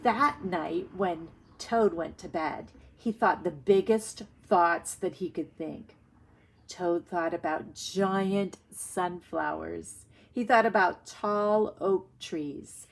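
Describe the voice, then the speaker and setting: Female primary reading teacher, very unhurried, deliberate and explanatory, reading a story aloud and thinking out loud. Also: lowish voice, vocal fry, rough recording. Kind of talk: read-aloud lesson for young children, thinking aloud.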